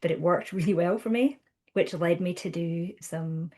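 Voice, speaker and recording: A Scottish woman in her thirties, a counsellor talking through her work in a chatty, animated way, often restarting her sentences. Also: lowish voice, normal speed. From Scotland. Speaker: guest counsellor. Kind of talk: conversational podcast interview.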